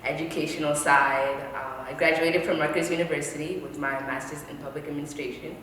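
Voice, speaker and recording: A low-pitched woman in her thirties, born in Kenya, talks casually as a guest and breaks into laughter now and then. The room is very echoey with a long reverb tail. A faint hum of ambience sits underneath. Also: steady tone, a little fast, fairly bright tone. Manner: chatty, laughs often, trails off with fillers. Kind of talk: casual interview chat.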